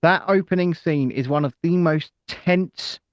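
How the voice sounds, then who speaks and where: Sex: male. Role: live podcast co-host. Pitch: a touch high. Sound: dry and close. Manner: rambling, full of ums and tangents. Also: a little slow, no echo. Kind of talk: casual live chat.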